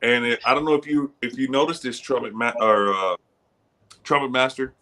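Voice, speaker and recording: A man with a bright, forceful voice chats casually on a podcast. His speech is loose and slangy, and he restarts sentences often as he goes. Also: medium pitch, steady tone, normal speed. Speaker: guest pitmaster.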